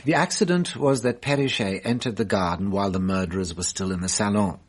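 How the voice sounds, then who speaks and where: An actor playing a shrewd, self-assured French detective in a radio drama, musing deliberately with wry amusement. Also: male voice, on the low side, breathy edge. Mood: wry, satisfied.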